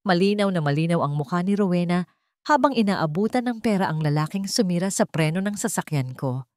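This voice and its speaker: Woman narrating, low and close. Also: lively intonation, brisk, a little mellow, dry recording. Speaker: audiobook narrator. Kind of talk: dramatic first-person scripted narration.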